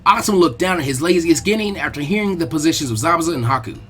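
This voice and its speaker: A man's voice: fairly high pitch, lively intonation, rapid-fire, a bit bright, faint music behind. A man reading fan fiction aloud as a dramatic scripted narration.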